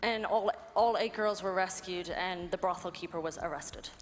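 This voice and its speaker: A woman's voice: lowish voice, moderate pace. Woman in her twenties, echoing hall. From Ireland. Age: 20s. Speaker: anti-trafficking worker, guest speaker. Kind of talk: personal testimony in short phrases for interpreter. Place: large event hall. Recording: hall reverb, music behind, live PA. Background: music.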